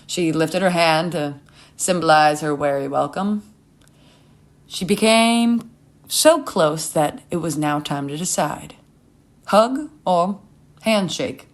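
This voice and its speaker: A woman reading a scripted short story as its narrator. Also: fairly low pitch.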